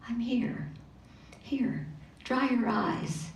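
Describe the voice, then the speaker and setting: A loving old grandmother murmuring comfort, soft and hushed, as a woman storyteller voices her. The sound is roomy and distant with faint ambience. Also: a little high, animated, drawn-out words, gravelly, slight background noise, pitched above the performer's own voice, exaggerated voice. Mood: soothing. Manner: soft, soothing, near whisper.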